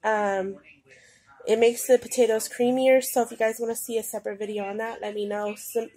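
A woman's voice: a little high, level tone, fairly quick, warm tone. A woman with a cold and a stuffed-up nose, chatting casually through a grocery haul at home, rambling with lots of ums. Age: adult with a daughter. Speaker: vlogger. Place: home, indoors. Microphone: phone camera pointed at groceries.

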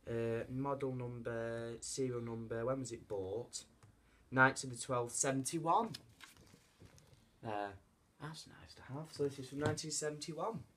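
A man's voice: medium pitch, a little slow, fairly bright tone. A young British man chatting casually and unscripted to camera as he hosts an unboxing vlog in a living room. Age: young adult. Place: carpeted living room, indoors. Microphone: camera a short distance away. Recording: noisy home camera sound with clicks.

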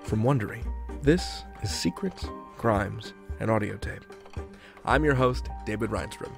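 A man's voice: fairly low pitch, measured pace, creaky. A man hosting a podcast, reading a scripted introduction to an episode. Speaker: podcast host. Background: music.

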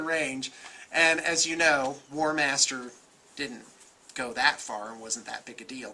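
An American man in his forties giving casual, off-the-cuff opinions in an animated, conversational way. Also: fairly high pitch. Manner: animated, gesturing, conversational, self-qualifying. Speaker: vlogger, miniatures shop owner. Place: indoor room with bookshelves.

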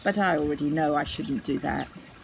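A woman's voice: low voice, normal speed, gravelly, noisy background. An older woman reading a children's story aloud expressively, outdoors. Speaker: storybook reader. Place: outdoors in a garden.

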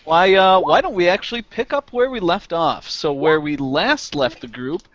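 A man's voice: fairly high pitch, expressive, moderate pace, fairly bright tone, no room sound. A man in his late twenties acting as game master, chatting casually and then recapping the game. He talks over a headset on a video call and laughs often. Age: 20s. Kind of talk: casual chat and game narration. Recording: dull lo-fi call audio.

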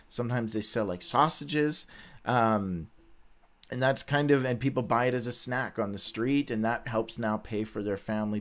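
A man in his forties hosting a casual livestream, chatting informally and often stopping to correct himself. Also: lowish voice. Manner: halting, informal, self-correcting. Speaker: host of a Bible read-along. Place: small indoor room, seated before a webcam.

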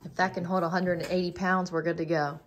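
A woman's voice: fairly low pitch, flat delivery, fast, a little hiss or hum. A woman casually narrating a home renovation project in an unfinished basement, upbeat and teasing. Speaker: co-host of a home renovation channel.

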